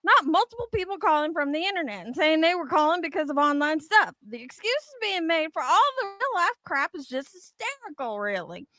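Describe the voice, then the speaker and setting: A woman's voice: sits high, sing-song, a little fast, few pauses, sharp tone, dry recording. A woman co-host giving playful, sweary dramatic readings of online comments, with banter in between.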